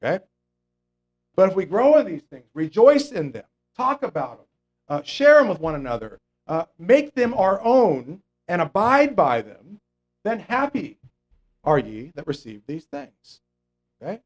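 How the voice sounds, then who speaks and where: A man with a fairly high voice delivers a conversational Bible lesson. He explains scripture step by step, with fillers and frequent "okay?" checks to the congregation. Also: big pitch swings, measured pace, poor audio. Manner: mocks imagined religious people in a raised voice. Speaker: preacher. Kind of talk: conversational Bible teaching sermon.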